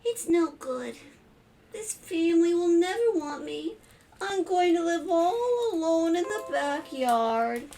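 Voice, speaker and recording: A woman's voice: very high voice, lively intonation, slow pace, dark tone, voice pushed up, exaggerated voice. A woman voicing a lonely little spider from a children's storybook, eager and pleading, longing to be a pet. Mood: forlorn.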